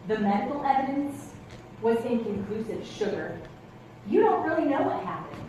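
Casting: woman playing veteran female cop, middle-aged, high swooping voice, defensive and patronizing, heard across an echoey theatre. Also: big pitch swings, normal speed, warm tone. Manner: patronizing, calls people darling and hun. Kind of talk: live stage play acting. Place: theatre stage with rows of seats. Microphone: distant camera. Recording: echoey theatre stage from far off, noisy.

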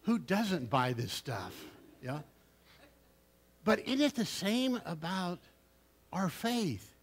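An older man, a pastor, preaching a story-filled sermon in a church sanctuary, rising loud on key phrases and dropping low, recorded from a camera at the back. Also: big pitch swings, slow pace. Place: church sanctuary with seated congregation. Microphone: far camera at the back of the church.

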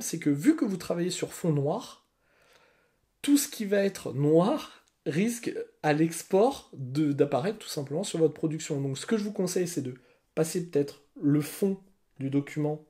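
A man in his thirties records a software tutorial at his desk at home. His voice sits a bit high yet sounds warm and soft-edged. He explains patiently, one step at a time, and keeps checking in with a little "hein" or "ok". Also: lively intonation, moderate pace. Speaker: teacher giving a software tutorial. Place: home room with bookshelves, indoors.

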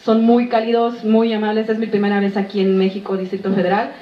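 A woman's voice: medium pitch, monotone, quick pace. A woman from Tepic, Nayarit, a band member, giving polite thanks with a giggly manner. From Tepic, Nayarit, Mexico. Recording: roomy, distant, dull sound.

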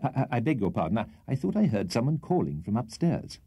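A man voicing a mild, courteous gentleman in a storybook reading, polite and slightly flustered. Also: mid-range voice, sing-song, fast, husky, no room sound. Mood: polite concern.

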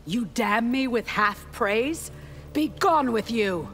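A woman voicing a devout, fiercely loyal royal guard in a video game, indignant and forceful. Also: mid-range voice, big pitch swings, takes it slowly, very noisy. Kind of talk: video game character dialogue. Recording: long reverb, faint music.

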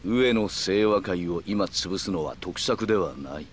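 Low-voiced, calculating yakuza man. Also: lively intonation, slight background noise. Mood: cool, firm. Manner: polite, measured, formal explanatory speech. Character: calm, calculating middle-aged yakuza man in a suit. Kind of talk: Japanese video game cutscene acting.

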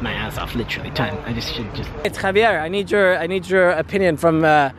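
A young man chatting casually to his vlog camera in slangy, excitable talk. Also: on the high side, a little fast, background noise. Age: young adult. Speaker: vlogger.

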